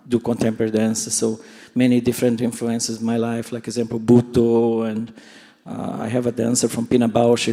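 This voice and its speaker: Soft-voiced man in his sixties, native Portuguese speaker. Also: mid-range voice, husky. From Brazil. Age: 60s. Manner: halting, searching for words, heartfelt. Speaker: choreographer and dancer. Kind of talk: post-show talkback. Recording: reverberant theatre hall.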